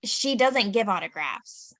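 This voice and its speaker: A woman's voice: mid-range voice, lively intonation, a little slow, a bit bright, no echo. An actress at a table read playing a bubbly young woman who loves to talk about her boyfriend and laughs often.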